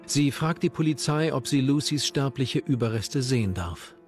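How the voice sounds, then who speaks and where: Guy doing scripted true crime doc narration, normal pitch, bit of music behind. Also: expressive, normal speed. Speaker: documentary narrator. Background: background music.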